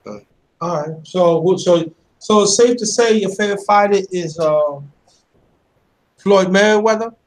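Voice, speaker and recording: Host, man, 50s, high voice, earnest, repeats openers. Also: unhurried, low-quality recording. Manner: earnest, repeats words when starting sentences. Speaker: channel host interviewing a guest. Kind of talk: casual interview chat.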